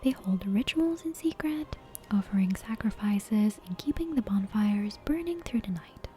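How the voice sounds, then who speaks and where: A woman whispering a bedtime story in a soft, breathy, gentle ASMR style, close to the microphone. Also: mid-range voice, animated, a little slow. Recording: clear background music and ambience. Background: soft game soundtrack music, ambience.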